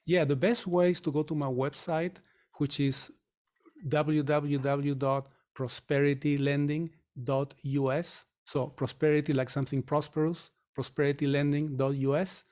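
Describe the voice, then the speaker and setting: A man in his fifties from Chile, answering podcast interview questions in a measured, reflective way. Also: fairly high pitch, little pitch movement, a little mellow. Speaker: guest, mortgage company founder.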